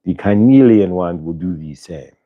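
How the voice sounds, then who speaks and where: A man voicing a benevolent otherworldly spirit collective in an affected, vaguely Indian-sounding accent, with drawn-out emphatic words, as in a live channeled healing session. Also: deep voice, expressive, narrow, tinny audio, pitched below the performer's own voice. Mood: soothing, instructive. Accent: affected, vaguely Indian-sounding put-on accent.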